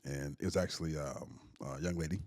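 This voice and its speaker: A man's voice: extremely low pitch, a little fast, a little mellow, no room sound, studio quality. A young man hosting a podcast in casual chat, sniffly from a cold and hesitant in his speech. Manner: hesitant, many fillers and restarts. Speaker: podcast host.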